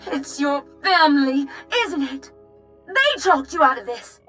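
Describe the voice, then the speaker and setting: Very high, passionate young noblewoman. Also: unhurried, sharp tone, faint music behind. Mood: hurt, accusing. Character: passionate young noblewoman jilted by her fiance. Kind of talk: video game voice acting.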